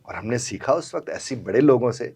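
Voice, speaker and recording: A middle-aged man, a guest explaining communication and in a formal, courteous, measured way. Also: medium pitch, lively intonation, gravelly, a little mellow, slightly rough. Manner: formal and courteous, using polite honorifics. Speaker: guest, language and communication trainer.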